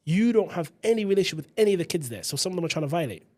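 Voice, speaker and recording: A man in his thirties from South London with a London accent, a teacher telling his own school story as a podcast guest in quick, animated, slangy chat. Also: sits high, dry recording. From South London, England. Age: 30s.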